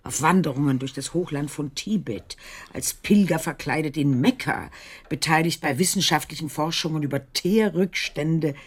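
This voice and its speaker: An actress playing a concerned, inquisitive Victorian woman in a German radio play, with an expressive, questioning and musing delivery. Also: low voice, moderate pace, husky. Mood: doubtful, worried.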